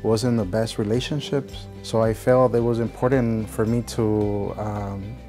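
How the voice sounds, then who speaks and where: Male candidate, American, slightly deep, personal story, prominent guitar bed. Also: level tone, unhurried, dark tone. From Chicago, United States. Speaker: city council candidate, police officer. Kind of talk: personal testimony in a campaign video. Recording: clear guitar music behind voice.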